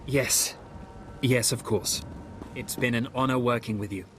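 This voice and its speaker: An actor playing a formal junior military officer in a video game, deferential to superiors and disdainful of inferiors. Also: male voice, mid-range voice, steady tone, measured pace, a bit bright, a little hiss or hum, close to an everyday voice. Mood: polite, dry. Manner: polite, formal, obsequious. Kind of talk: video game dialogue acting.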